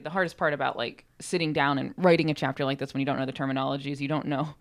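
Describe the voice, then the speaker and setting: A woman with a distinctly low voice talks as a podcast co-host on a microphone. She explains casually, wandering through her thoughts in an informal, self-deprecating manner. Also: fairly even intonation, racing.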